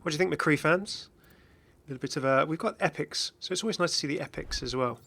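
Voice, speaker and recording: Male gaming presenter: fairly high, very bright delivery, informal and chatty, thinking aloud as he goes. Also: fast talker, gravelly, slightly rough. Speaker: video host, game content creator. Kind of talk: casual gaming commentary.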